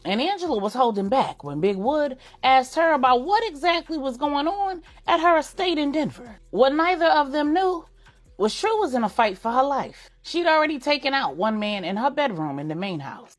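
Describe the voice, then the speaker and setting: A woman storyteller reading a dramatic scripted story, phrasing it deliberately in short units. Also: sits high, expressive. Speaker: storyteller, narrator.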